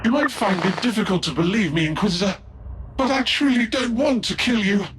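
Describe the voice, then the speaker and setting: An actor playing a scheming heretical tech-priest scholar in a video game, speaking in a formal, theatrical, drawn-out way. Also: male voice, sits high, a bit bright, soft background music. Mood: menacing regret.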